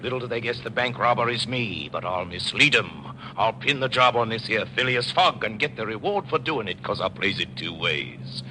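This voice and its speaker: An actor playing a scheming, blustering old police detective in a classic radio play. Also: male voice, lowish voice, animated, moderate pace, hardly any pauses, bright, forceful tone, a little hiss or hum. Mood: sly gloating. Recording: old radio recording, music behind.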